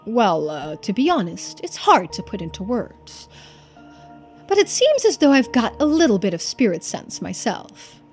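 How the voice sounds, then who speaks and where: Woman as a hesitant, casual game investigator over soft music. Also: fairly high pitch, big pitch swings, a little slow. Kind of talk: video game character voice-over.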